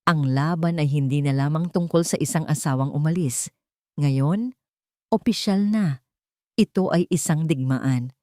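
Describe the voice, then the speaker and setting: A woman's voice: low voice, measured pace, darkish tone, no echo, clean studio sound. A woman with a Filipino accent narrating a scripted dramatic story.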